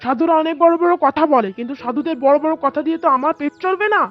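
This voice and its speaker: A woman's voice: sits high, rapid-fire, natural voice. A woman voicing an arrogant, stingy village sweet-seller, a scornful man in a cartoon story. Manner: scornful, scolding. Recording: dull lo-fi cartoon audio with music.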